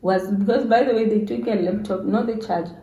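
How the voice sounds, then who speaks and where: A woman's voice: mid-range voice, brisk, warm tone, dull audio, a little hiss or hum. A middle-aged woman with a South African accent tells a story casually, acting out bits of dialogue and repeating phrases for emphasis. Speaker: YouTuber's mother telling a story as guest. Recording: roomy, echoing indoor sound.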